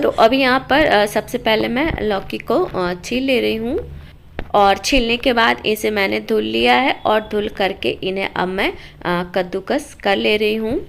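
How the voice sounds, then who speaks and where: A woman's voice: medium pitch, creaky, a little hiss or hum. A middle-aged woman casually narrating her daily home and cooking routine as a vlogger, her throat sore from a cold. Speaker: homemaker vlogger and mother.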